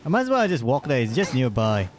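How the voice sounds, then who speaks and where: A man's voice: medium pitch, huge pitch swings, fast talker, soft background music. A man streaming live, giving casual, slangy, rambling commentary on a game.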